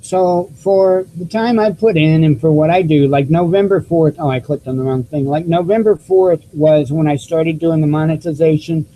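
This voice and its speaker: Squeaky-voiced man rambling to his viewers. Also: normal speed, few pauses, mellow, slight background noise, low-quality recording. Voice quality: squeaky voice, self-described. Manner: rambling, many restarts and fillers. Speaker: YouTube news channel host. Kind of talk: casual vlog talk to viewers. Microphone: cheap desktop microphone.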